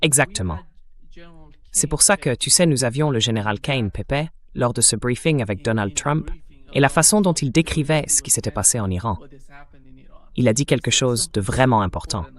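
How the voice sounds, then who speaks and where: A man's voice: mid-range voice, animated, moderate pace. A man hosting a conversational political discussion, in a synthetic translated dub. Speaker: interview host.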